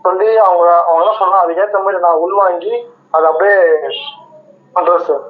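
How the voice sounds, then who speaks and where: High-voiced eager young man. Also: steady tone, fairly quick. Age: 20s. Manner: deferential, eager. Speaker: aspiring actor being prank called. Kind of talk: phone audition, casual answers. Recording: muffled, radio-like phone line.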